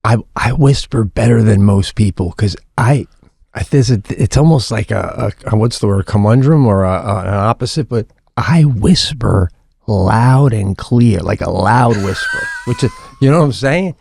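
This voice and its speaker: A man co-hosting a comedy podcast, telling stories in an animated way, swearing freely and restarting his sentences. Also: on the low side, moderate pace, creaky, husky, no room sound, studio quality. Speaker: podcast co-host. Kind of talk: casual comedic chat.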